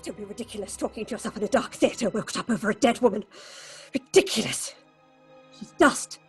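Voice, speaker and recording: An actress playing a stern, anxious, domineering theatre director who talks in a melodramatic way in an audio horror drama. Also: female voice, medium pitch, brisk, slightly rough, soft background music. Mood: frightened, haunted. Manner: imperious, melodramatic, talks aloud to herself.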